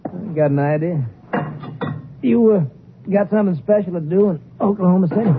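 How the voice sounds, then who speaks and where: An American actor playing an eager small-time crook in a 1950s radio drama, with slangy, uneducated, insistent speech. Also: male voice, high-pitched, normal speed. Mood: conspiratorial. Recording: tinny, noisy 1950s radio recording.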